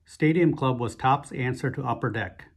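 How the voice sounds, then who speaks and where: A man's voice: medium pitch, moderate pace, slightly rough. A man hosting his card-opening channel, narrating casually and unhurriedly with frequent fillers as he opens a pack at a table. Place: indoors at a table, hands only on camera.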